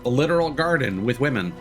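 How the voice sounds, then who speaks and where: A man giving casual, thoughtful let's play commentary, often halting mid-sentence as he works through an idea. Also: a little high. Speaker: game streamer. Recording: game music behind the voice. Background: video game music.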